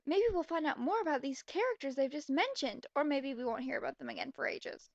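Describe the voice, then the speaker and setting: A high-pitched woman delivers sarcastic, exasperated commentary on a story. Her voice has a gravelly fry to it, and the recording is dry and somewhat dull. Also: animated, fairly quick, no echo. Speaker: commentator reading a story aloud. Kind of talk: mocking commentary on a read-aloud story.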